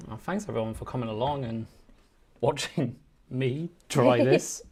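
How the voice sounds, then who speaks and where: Gravelly man, chatting while sewing. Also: mid-range voice, a little mellow. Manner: self-deprecating, reads instructions aloud slowly. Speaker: beginner sewer on a livestream. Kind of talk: casual chat. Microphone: desk microphone.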